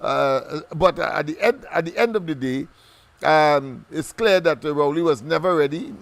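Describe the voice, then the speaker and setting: A man's voice: on the high side, creaky. A man hosting a political talk radio show, speaking in formal phrasing broken by many ums and restarts. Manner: halting, full of ums and restarts, formal wording.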